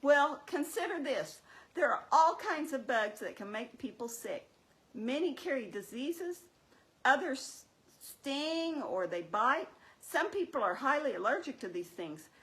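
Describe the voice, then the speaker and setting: Woman as sensible, teasing church-show puppet: high pitched, swooping intonation, explains and chides. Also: animated, measured pace, fairly bright tone, low-quality recording. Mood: explaining. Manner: explanatory, chiding, wry. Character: Mary, sensible, teasing, scolding female puppet.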